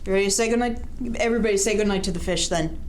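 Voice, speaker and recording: A woman's voice: medium pitch, rapid-fire. A woman hosting an interactive children's read-aloud, speaking in a coaxing sing-song way and putting questions to the listener. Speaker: storytime host reading a picture book. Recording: faint background noise.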